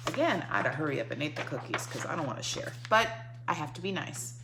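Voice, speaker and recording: A woman's voice: sits low, sing-song, quick pace. A woman teacher reading a picture book to young children, breaking off to explain and coax answers with questions and repetition, on a noisy recording. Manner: instructive, coaxing the listener with questions and repetition.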